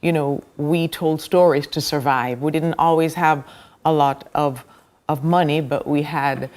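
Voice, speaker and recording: A woman's voice: low voice, steady tone, unhurried, darkish tone. A Jamaican woman, a playwright, talking earnestly about her play in a casual interview. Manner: earnest, heartfelt promoting. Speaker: playwright guest.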